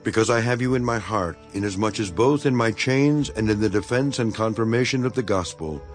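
Casting: male actor for earnest imprisoned apostle, lowish voice, measured and solemn, for dramatized Bible audio with musical backing. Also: natural voice. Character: Apostle Paul, imprisoned, earnest, devoted elder writing to his flock. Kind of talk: dramatized scripture reading.

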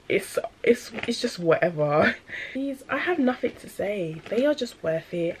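A woman, chatting casually in a product review vlog, a little rambling and full of fillers, her voice slightly deepened by a cold. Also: a little hiss or hum, low-quality recording. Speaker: vlogger. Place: small bedroom indoors.

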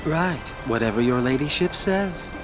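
A man's voice: mid-range voice, lively intonation, moderate pace, lightly acted. An actor playing an arrogant male rival player in a video game, sarcastic and contemptuous. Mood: scornful. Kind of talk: video game cutscene acting. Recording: music behind the voice.

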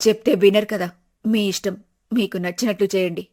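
A woman voicing a worried, practical older wife and mother in an animated family story, plain-spoken and resigned. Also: mid-range voice, brisk, natural voice. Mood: anxious, resigned.

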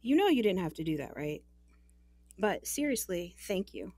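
An actress playing a sweet but gently stubborn young woman in an audio drama, with an expressive manner. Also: medium pitch, normal speed, no room sound, studio quality. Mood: soft, grateful.

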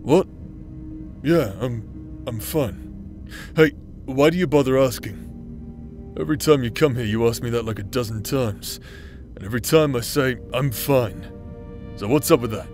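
A man performing a gruff, sarcastic bastard warrior from a fantasy audio drama, laid up with injuries. He speaks slowly in a mid-pitched voice and swears freely, prickly with everyone. Faint music plays underneath. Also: darkish tone, lightly acted. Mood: guarded. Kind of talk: character roleplay audio drama.

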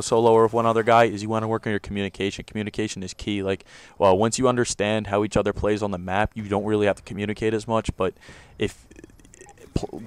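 A young man with a deep voice giving loose, rambling commentary over his own gameplay, recorded on a close home mic. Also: clean tone. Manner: rambling, self-correcting, loose slang. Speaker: gaming commentator.

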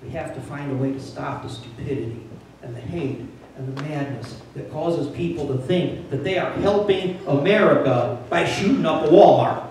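An older man preaches in a plainspoken, conversational way, his voice sitting a little high for a man. He is heard from a distance through a handheld mic in a very echoey church sanctuary, with a faint hum of room sound underneath. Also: moderate pace, a little mellow. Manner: plainspoken and conversational, building to urgent emphasis. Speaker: pastor. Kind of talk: sermon. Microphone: handheld mic, filmed from a distant camera.

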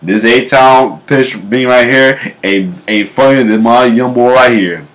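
A man in his thirties with a low bass voice, casually reacting to memes at home, laughing often and breaking into off-key singing. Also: measured pace. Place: home kitchen, indoors. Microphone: webcam at close range.

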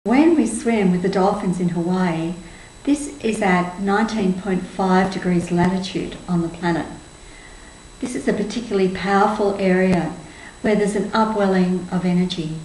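A woman in her sixties giving a measured, earnest spiritual talk to camera. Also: fairly low pitch, darkish tone. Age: 60s. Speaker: spiritual group tour leader and presenter. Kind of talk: spoken presentation to camera. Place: indoors, small room with cloth backdrop. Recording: roomy echo, faint background noise.

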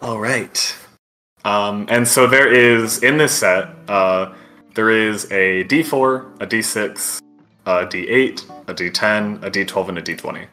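Man, game master, bright voice, explaining a tabletop game, faint music behind. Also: lowish voice, measured pace.

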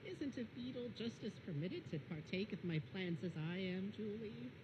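A woman voicing a gentle, fussy, fretful gardener puppet who speaks earnestly and precisely. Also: medium pitch, animated, moderate pace, noisy background, close to an everyday voice.

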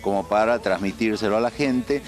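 A man in his fifties with an Argentine accent and a fairly low voice talks into a handheld mic on a studio couch. He speaks in a measured, earnest way and pauses often on "eh". Faint music plays behind. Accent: Argentine Spanish. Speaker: TV host and producer as guest. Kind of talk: casual interview. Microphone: handheld mic held by interviewer.

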